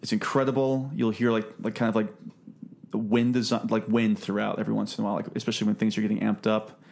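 A man hosting a casual podcast review, talking haltingly and repeating words as he thinks. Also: lowish voice, little pitch movement, vocal fry, darkish tone. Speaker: podcast host. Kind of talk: casual film review discussion.

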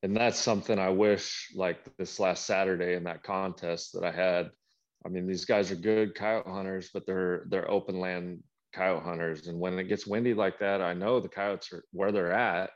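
Man, podcast guest, laid-back, plain-spoken, very deep voice, muffled lo-fi sound. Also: fairly even intonation, moderate pace. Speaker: podcast guest, coyote hunter. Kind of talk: casual hunting chat.

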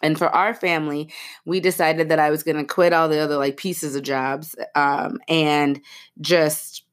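A woman's voice: deep voice, little pitch movement, a little slow, clear, resonant tone. A woman in her thirties hosting a podcast with a head cold. She sounds stuffy and nasal and chats casually, with lots of fillers and restarts. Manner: chatty, many fillers and restarts. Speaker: podcast host. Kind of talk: casual chat.

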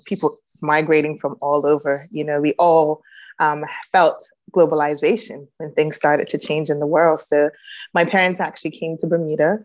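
Interview guest: woman with a faint Jamaican lilt, lower pitch, thoughtful and unhurried. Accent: light Jamaican. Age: adult, a grown child. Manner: reflective, many "um"s, warm laughs. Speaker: guest, reboot coach. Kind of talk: personal storytelling in interview.

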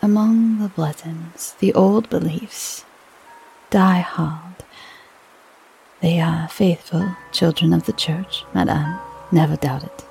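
A timid old country priest who speaks slowly and drops to a near whisper, uneasy and reluctant, as a woman reads him. His voice is low and gravelly, with soft music underneath. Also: full character voice, rough recording. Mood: hushed uneasy. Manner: hushed, whispering, slow and hesitant. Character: timid elderly male village priest, uneasy.

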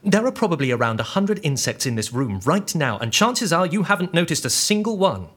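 An actor playing an anxious, earnest scientist on the run in an audio drama, explaining things in a precise, literal way with a husky voice. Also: male voice, fairly high pitch, big pitch swings, fairly quick, fairly bright tone, full character voice. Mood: earnest, intense.

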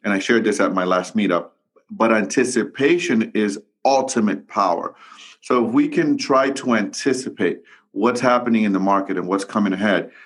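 A low-voiced man hosts a real estate podcast with energy and emphasis. He often repeats himself and restarts phrases while pressing his points. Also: normal speed. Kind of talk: casual interview talk.

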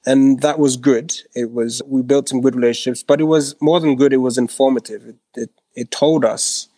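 A man's voice: medium pitch, fairly even intonation, moderate pace, dark tone, no room sound. A man from Ghana giving a reflective, conversational account of himself as a panel guest, choosing his wording with care. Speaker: entrepreneur on a panel.

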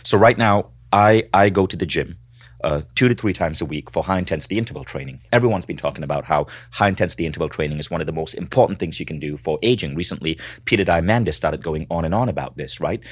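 A man's voice: low voice, fast talker, husky, no echo. A man with a Malaysian accent hosting a podcast interview, earnestly summing up his guest's points. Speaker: podcast host.

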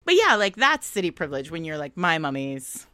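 An American woman co-hosting a podcast in casual chat, telling stories in an animated, digressive way. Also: sits low, brisk, no room sound, clean studio sound. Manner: animated, digressive storytelling with frequent laughter. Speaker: podcast co-host.

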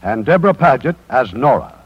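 A man's voice: mid-range voice, big pitch swings, fairly quick, husky, dry recording. A man acting as host, reading scripted scene-setting narration for a 1950s radio drama. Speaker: producer and host. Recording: dull, lo-fi 1950s radio broadcast.

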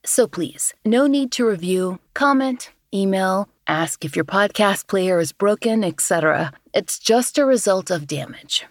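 A woman with a deeper, lower voice, hosting a podcast and giving calm, measured scripted narration. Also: dry recording, clean studio sound. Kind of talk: scripted true-crime narration.

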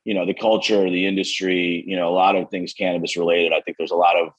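A man's voice: deep voice, little pitch movement, fast talker, poor audio. An American man answering interview questions conversationally as a company co-founder, hedging often with "you know" and "kind of". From United States, Western New York. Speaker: business co-founder being interviewed.

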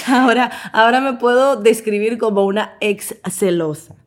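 A young adult woman from the Dominican Republic with a Dominican Spanish accent, guest in a casual interview, animated and quick to laugh as she acts out the voices in her stories. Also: on the high side.

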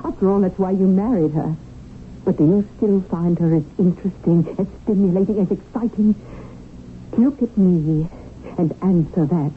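Actress as scheming, seductive widow on tinny radio. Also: female voice, fairly low pitch, moderate pace, close to an everyday voice. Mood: seductive, urging. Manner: smooth-talking, insistent, self-assured. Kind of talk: 1970s radio drama acting. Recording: tinny, noisy old radio broadcast.

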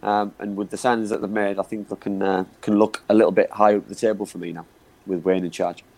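A man's voice: lowish voice, little pitch movement, fast talker, gravelly, darkish tone. A man giving football analysis on a chat show, often repeating words and saying you know what I mean. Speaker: football pundit.

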